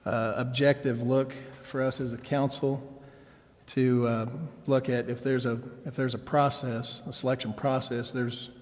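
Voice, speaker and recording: Halting, even-toned man in an echoey chamber. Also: medium pitch. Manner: halting, folksy, hedging. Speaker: city council member. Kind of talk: informal remarks at a public meeting. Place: city council chamber. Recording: echoey council chamber, long reverb.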